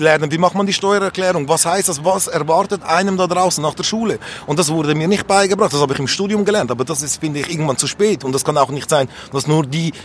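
A young man speaking spontaneously and earnestly in a street interview, giving his opinions insistently. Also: fairly high pitch. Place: outdoors on an urban street. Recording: faint urban street and traffic noise.

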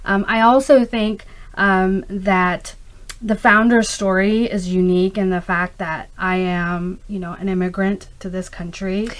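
A woman's voice: medium pitch, fairly even intonation, a little slow. A middle-aged woman from Pakistan, raised in the United States, a native Urdu speaker, answering interview questions in an earnest, discursive, conversational way. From born in Karachi, Pakistan, raised in the United States from age five. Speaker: interview guest, startup founder.

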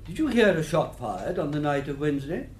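An officious male coroner in a radio mystery, runs his inquest with formal, deliberate questions. His voice sits fairly high and swings animatedly, in a roomy space with a faint hiss behind it. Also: sing-song, darkish tone. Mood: probing, formal. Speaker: actor in a radio drama. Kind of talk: full-cast radio mystery acting.

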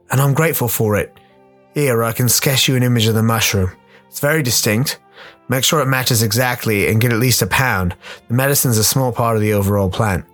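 A rogue slum doctor talks gravely and knowingly, played by a man. The mid-pitched voice is matter-of-fact and caring, in a radio play with light music underneath. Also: natural voice.

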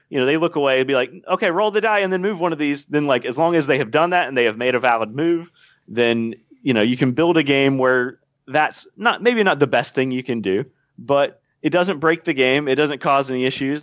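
A man's voice: mid-range voice, fairly bright tone, no echo. A man explaining game design at length as a podcast guest, thoughtful and full of small fillers. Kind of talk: casual podcast chat. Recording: dull, dry podcast audio.

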